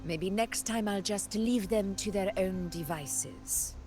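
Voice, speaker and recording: A proud, fearless woman ruler of warriors , played by an actress for a video game. She speaks at a slow, measured pace with calm, theatrical assurance and a teasing edge. Soft music plays behind her. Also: mid-range voice, natural voice. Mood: teasing, composed.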